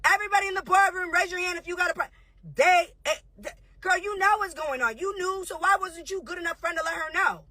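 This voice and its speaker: A woman in her thirties, a reality show host, ranting heatedly and profanely on a livestream, confrontational and rapid-fire. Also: very high-pitched, bright, forceful tone, no echo.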